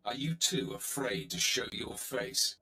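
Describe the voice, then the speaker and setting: A deep, forceful, arrogant Sith warrior. He is sardonic and clipped, with swooping game-drama emphasis, in a roomy sound. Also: big pitch swings, measured pace, vocal fry, full character voice. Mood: haughty, scornful. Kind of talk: dramatic video game voice acting.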